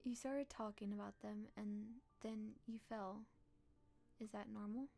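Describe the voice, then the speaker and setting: A woman live streamer reading a visual novel aloud in a playful, expressive way with casual reactions. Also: medium pitch, unhurried, creaky.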